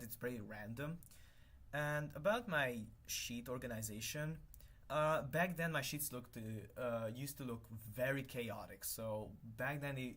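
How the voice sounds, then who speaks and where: A man's voice: medium pitch, fairly bright tone. A young man whose first language is Hungarian, chatting casually on a live stream while he works, rambling and correcting himself often with many fillers. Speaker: livestreamer.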